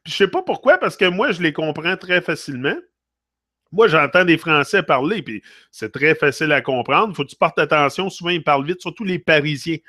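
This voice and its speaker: Québécois guy on a livestream, french is his first language, kinda high voice, dry close sound, flips english and french mid sentence. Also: expressive, fast talker, sharp tone, no echo. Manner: switches between English and French mid-thought, tags phrases with "là". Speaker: livestream host. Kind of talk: casual live-stream chat reading comments.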